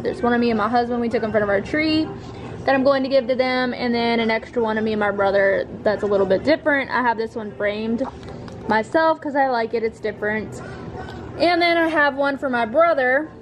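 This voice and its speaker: Chatty young woman vlogging at home. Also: a little high. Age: young adult. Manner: chatty and rambling, with fillers and soft laughs. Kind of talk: casual vlog chat. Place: living room at home. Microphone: handheld camera close to face.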